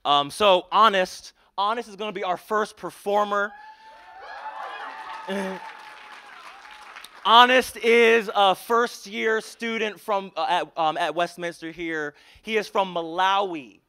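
A man's voice: high voice, steady tone, takes it slowly, some chatter behind. A young man emceeing a concert in a large auditorium, speaking earnestly on a handheld mic. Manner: earnest, builds to rousing shouts, hushed prayer. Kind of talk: emcee introductions and public prayer.

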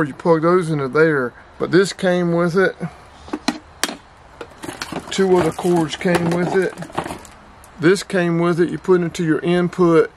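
A man in his fifties hosting a casual vlog, talking through a product demo in a plain-spoken, rambling way that repeats itself, close to the camera in a small storage room. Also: a little high. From North Carolina. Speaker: outdoors and radio channel host.

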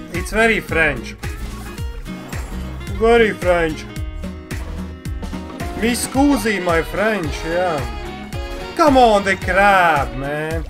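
A man in his thirties reacts casually and excitably in a high-pitched voice. He takes his time, repeats phrases and comments off the cuff, with prominent trailer music behind him. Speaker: reaction video host. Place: indoor room. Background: game trailer music.

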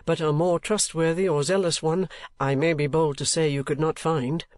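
A man voicing a modest young gentleman in a storybook reading, formal, deferential and earnest. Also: fairly high pitch, fairly even intonation, moderate pace, dry recording, lightly acted. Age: young adult.

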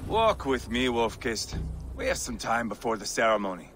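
Sardonic viking warlord, mocking, hugely swinging pitch, faint music. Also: male voice, sing-song, normal speed, fairly bright tone. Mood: frank. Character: brash, grimly joking Viking warlord. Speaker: game character.

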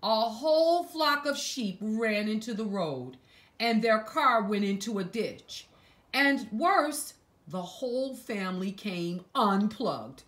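Female picture-book reader for young kids: unhurried, highly expressive intonation, dramatic emphasis, hushed asides. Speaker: storyteller reading a picture book aloud to children. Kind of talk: expressive read-aloud storytelling for young children.

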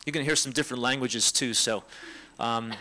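A middle-aged man, casually leading a panel in a church hall on a handheld mic, relaxed and joking. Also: mid-range voice, a little fast, slight background noise. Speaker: team leader hosting a panel. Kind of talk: casual church talk to a congregation. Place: church hall with a seated audience. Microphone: handheld microphone.

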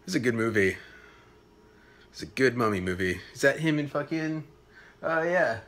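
A man's voice: mid-range voice, lively intonation, fairly bright tone. A young man in his late twenties vlogging to a phone camera in a small apartment, rambling casually, swearing and trailing off with fillers. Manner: loose, swearing, halting with fillers. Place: small apartment room indoors. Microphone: phone camera at arm's length.

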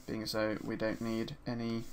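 A man with a slightly hoarse voice from a sore throat narrates a screen-recorded 3D sculpting tutorial in a relaxed, chatty way, with frequent ums and uhs. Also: fairly low pitch, normal speed, a little hiss or hum. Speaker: 3D art instructor. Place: at his desk, voice-over for a screen recording.

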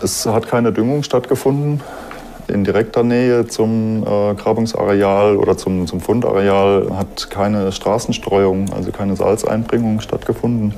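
Creaky, mellow-voiced man explaining haltingly. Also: fairly low pitch. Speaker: expert interviewee. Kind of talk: unscripted explanation in interview.

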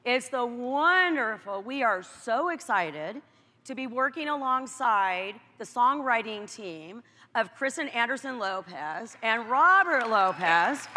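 A woman producer announcing collaborators in a measured way on a large stage. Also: fairly high pitch, expressive, bright, forceful tone. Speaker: film producer. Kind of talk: stage presentation. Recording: arena sound with long reverb.

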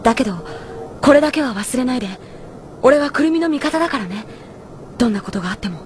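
An actress playing a caring young boy in an audio drama, speaking softly and reassuringly. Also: high-pitched, expressive, quick pace, slight background noise. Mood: tender, reassuring. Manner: soft, tender, reassuring. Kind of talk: anime-style audio drama acting.

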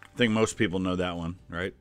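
A man's voice: low voice, fairly even intonation, fairly quick, clear, resonant tone, soft background music. A man in his forties giving casual, conversational reaction commentary, thinking aloud. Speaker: history YouTuber reacting to a quiz.

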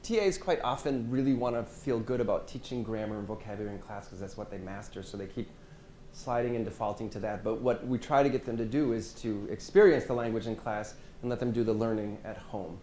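A man, a French instructor, talking a workshop audience through his teaching in a conversational way, letting his phrase ends drop off quietly. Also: on the low side, brisk. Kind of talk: workshop presentation and discussion. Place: medium-sized seminar room with audience. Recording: echoey room, faint hiss.

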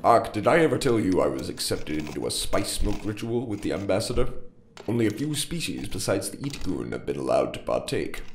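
A man acts out a weary commander and father in a space radio drama. He speaks low, with earnest authority, in echoing reverb. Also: very animated, a little fast, slight background noise. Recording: echoing reverb with music behind.

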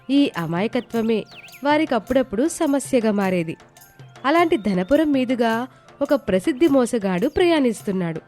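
Woman storyteller, higher voice, reading a moral tale over music. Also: mellow. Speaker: story narrator. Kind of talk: scripted moral-story narration. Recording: background music behind the voice.

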